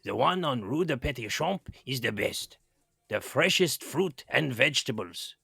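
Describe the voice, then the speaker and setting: Brusque, bossy head chef. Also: male voice, medium pitch, expressive, measured pace, creaky, bright, forceful tone, breathy edge, full character voice. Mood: curt, impatient. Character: brusque, bossy male head chef ordering his helper. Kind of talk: children's audio drama acting.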